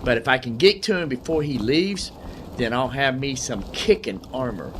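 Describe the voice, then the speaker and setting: A man's voice: medium pitch, expressive, normal speed, fairly bright tone, a little hiss or hum. A man giving casual gameplay commentary as a video host, repeating his phrases and using folksy slang.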